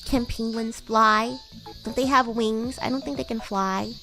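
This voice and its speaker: A woman's voice: a little high, fairly even intonation, a little slow, poor audio. A woman voicing a bratty, playful young demon schoolgirl in a girlish voice, chatting in character on a roleplay livestream. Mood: playfully insistent. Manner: playful, giggly, teasing. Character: bratty young demon schoolgirl class president with baby wings. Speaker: VTuber streamer.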